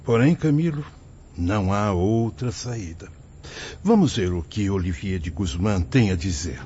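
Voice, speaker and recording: A male actor playing a grave spirit overseer in a radio drama, speaking formally and deliberately. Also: fairly low pitch, animated, warm tone. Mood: grave, resigned. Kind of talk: radio drama acting in Portuguese. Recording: dull lo-fi audio, faint noise.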